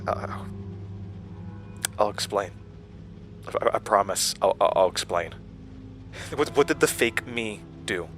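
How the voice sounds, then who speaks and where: A man's voice: lowish voice, lively intonation, slow, long pauses, fairly bright tone, a little hiss or hum, exaggerated voice. A man acting in an audio drama as a troubled, anxious questioner who speaks in hesitant, broken, stammering phrases. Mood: nervous questioning.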